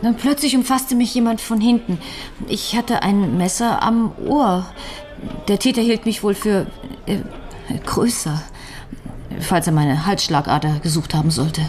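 An actress playing a shaken but composed widow in a radio crime drama, recounting things in a halting, deliberate way. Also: female voice, mid-range voice, a little mellow, lightly acted.

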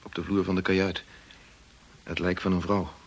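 An actor playing a grave, hesitant family friend in a radio drama, with a husky, sober delivery. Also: male voice, deep voice, little pitch movement, moderate pace, a little hiss or hum.

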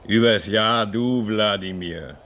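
A man's voice: lowish voice, slow pace, a bit bright, slight background noise. An actor in a German radio play as a homesick, restless man at a polar station, brooding and theatrical, swinging between muttering and outcry. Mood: restless, defiant.

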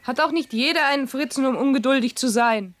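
An actress playing a determined housemaid and organiser in a German radio play, prompting others in a matter-of-fact way. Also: female voice, high voice, a little fast, fairly bright tone. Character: Elise, determined housemaid organising servants' meetings.

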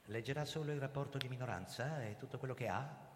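A male actor playing a grim, accused ex-police commissioner who narrates his own story in a radio drama, brooding and deliberate. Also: medium pitch, natural voice. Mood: flustered. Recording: hall reverb, noisy live stage ambience.

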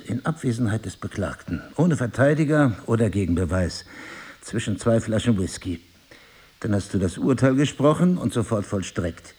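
An actor playing a middle-aged husband, wounded yet devoted, who argues in articulate, deliberate phrases in a stage-play dialogue. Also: male voice, fairly low pitch, mellow, husky. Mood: bitter, reproachful. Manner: articulate, deliberate, lecturing, ironic. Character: reproachful yet devoted husband, wounded, philosophizing crime writer.

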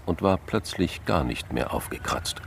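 A man's voice: low-pitched, lively intonation, measured pace, creaky, slight background noise. A man reading scripted literary narration as the narrator of a crime radio play.

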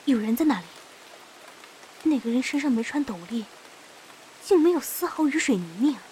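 Sharp-eyed young woman, high voice, blunt and teasing, faint noise behind, fantasy audio drama. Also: expressive, normal speed, low-quality recording. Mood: alert.

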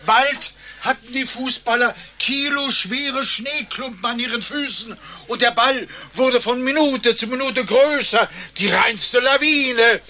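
An actor playing a long-winded, doggedly optimistic former referee in a satirical German radio play, telling things in an emphatic, declamatory way. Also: male voice, extremely high pitch, measured pace, bright, forceful tone, heavily acted. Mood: dramatic reminiscing.

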